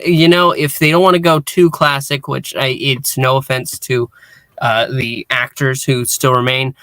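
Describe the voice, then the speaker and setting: A man co-hosting a casual fan discussion, talking earnestly and haltingly, often repeating words as he thinks. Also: mid-range voice, sharp tone, no echo. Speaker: podcast co-host.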